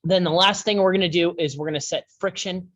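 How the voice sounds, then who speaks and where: A man teaching a class over a screen share, talking in a halting way with many fillers and restarts. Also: high-pitched, steady tone, a bit bright. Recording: dull lo-fi sound.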